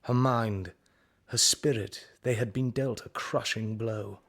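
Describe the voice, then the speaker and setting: An actor with an English accent playing an earnest, devoted young Victorian art teacher in an audio drama, speaking in literary phrases. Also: male voice, mid-range voice, steady tone, slow pace, a bit bright. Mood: sombre. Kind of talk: audio drama narration and acting.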